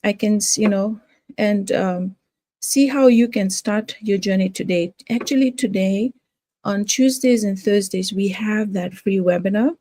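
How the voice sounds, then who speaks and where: A woman's voice: mid-range voice, a little slow, mellow, dry recording. An older woman from Fiji talking casually to her viewers about her home business, hesitant, with many fillers and restarts. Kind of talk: casual talk to camera.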